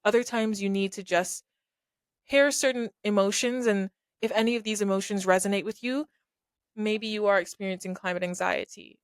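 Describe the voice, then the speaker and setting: A woman's voice: mid-range voice, clean tone, no room sound, studio quality. A young woman from Barbados hosting a podcast, talking earnestly and conversationally, thinking aloud with small restarts. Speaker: podcast host. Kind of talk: reflective solo podcast talk.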